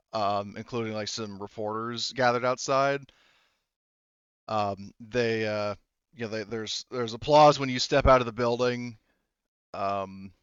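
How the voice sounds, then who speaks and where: A man's voice: medium pitch, a bit bright, clear, resonant tone, no room sound, lo-fi sound. A man casually narrating a tabletop game as game master, improvising in informal, rambling, hesitant phrases.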